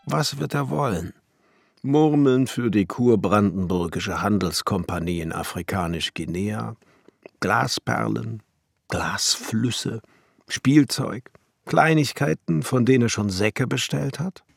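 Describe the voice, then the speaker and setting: An actor playing a brooding, devoted alchemist and glassmaker in a German radio play, musing in formal, ornate language with a husky, gravelly voice. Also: male voice, fairly low pitch, lively intonation, slow pace, no room sound, studio quality, heavily acted. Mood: anxious musing.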